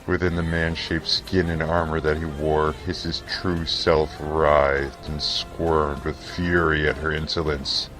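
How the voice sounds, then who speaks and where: A man reading scripted fantasy narration for an audio drama in a solemn, measured, theatrical way. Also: a bass voice, little pitch movement, music in the background.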